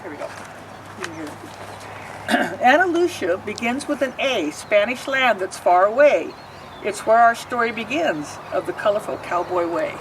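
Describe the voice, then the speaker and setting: A woman reading a rhyming picture book aloud, pausing to explain the pictures. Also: medium pitch, expressive, recorded from a distance, background noise. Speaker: storybook reader.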